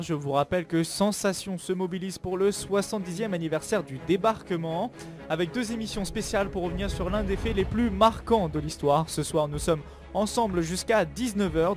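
High-voiced young male radio host. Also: darkish tone. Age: young adult. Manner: brisk scripted announcing with light banter. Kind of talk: live radio presenting. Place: outdoor live broadcast stand. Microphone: studio mic on stand with headphones.

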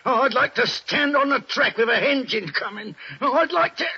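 An actor playing a fretful, excitable old barber in a 1940s radio drama, husky and whining. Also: male voice, very high voice, moderate pace, full character voice. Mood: distraught. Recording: dull old radio broadcast.

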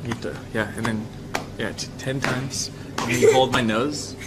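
A man's voice: mid-range voice, steady tone, normal speed. A young man streaming live, chatting casually into a camera held close, in noisy audio. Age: young adult. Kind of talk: casual chat on an outing.

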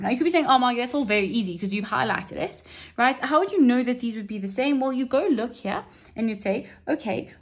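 A woman teacher talking through an exam paper at a desk, chatty and reassuring, often checking in with "right?" and "okay?". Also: on the high side. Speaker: maths teacher. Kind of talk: exam paper walkthrough lesson. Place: indoors at a wooden desk.

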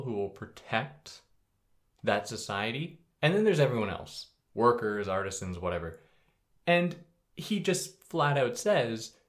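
A young adult man hosting a podcast, explaining philosophy in a relaxed, conversational way with a soothing voice and sudden upward jumps in pitch. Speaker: podcast host. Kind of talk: casual explainer monologue.

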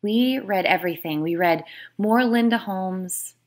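A woman's voice: mid-range voice, measured pace. A woman who is an author, reading her own book aloud as scripted narration.

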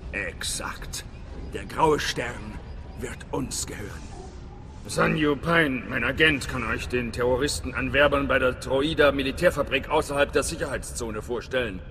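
An actor playing a hearty, wry middle-aged spy station chief in a video game, theatrical and conspiratorial. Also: male voice, mid-range voice, unhurried, bright, forceful tone. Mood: conspiratorial, serious. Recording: long reverb, game music behind.

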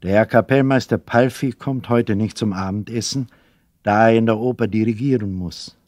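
A man's voice: on the low side, moderate pace, darkish tone, dry recording, clean studio sound. A man narrating a scripted story for an audio drama in a dramatic storytelling style.

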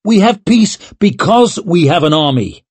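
A man's voice: mid-range voice, wildly swooping pitch, takes it slowly, breathy edge, no echo, exaggerated voice. A man voicing a proud, hot-headed young lord in an audiobook, snappish and blustering. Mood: outraged.

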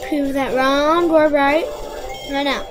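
A woman's voice: sits high, fairly even intonation, slow. A woman co-hosting a game playthrough, joking and rambling in a casual way, with game music behind her. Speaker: co-host. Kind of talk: casual gameplay chat.

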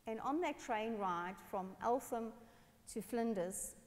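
A woman from South Africa, a pastor telling personal stories in a lively sermon on a church stage. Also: on the high side, takes it slowly. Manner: animated, expressive, gestures while speaking. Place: large dark church stage. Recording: long church hall reverb.

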